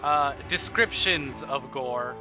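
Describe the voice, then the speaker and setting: A man's voice: medium pitch, slow, music in the background. A man livestreaming a game with casual commentary and wry, joking asides.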